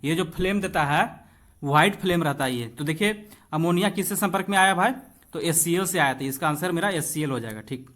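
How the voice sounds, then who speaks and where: A man's voice: fairly high pitch, fairly bright tone. A man with an Indian accent teaching an exam-prep lesson, reading questions aloud and explaining them directly to students in an instructive way. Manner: instructive, addresses students directly, slows down when reading out English.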